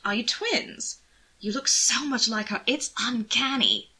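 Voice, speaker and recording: A woman plays a young aristocratic cad in an audiobook. He drawls with suave amusement and likes to tease. The voice is bright and crisp-edged, with a rakish confidence. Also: on the high side, unhurried, pitched above the performer's own voice, exaggerated voice. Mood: amused, musing. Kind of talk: audiobook character voice.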